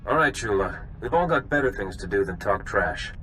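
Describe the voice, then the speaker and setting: A man voicing a measured, dryly courteous bounty official in a video game. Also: fairly low pitch, animated, fairly bright tone, slight background noise, rough recording. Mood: calm, businesslike. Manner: measured, dry, formal. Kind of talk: dramatic video game dialogue.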